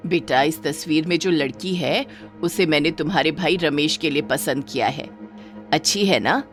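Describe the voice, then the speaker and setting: A woman's voice: deep voice, moderate pace, soft background music, natural voice. A woman voicing a practical middle-aged mother in a moral story, assured, motherly and matter-of-fact. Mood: pleased. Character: firm, practical middle-aged mother choosing matches for her children. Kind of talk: acted dialogue in a moral story.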